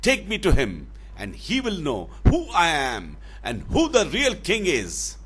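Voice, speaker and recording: A man's voice: a little high, huge pitch swings, fairly bright tone, slightly rough, voice pushed up, full character voice. Man putting on a roaring, furious lion king. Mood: enraged. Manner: roaring, threatening, drawn-out words. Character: vain, furious, foolish male lion king.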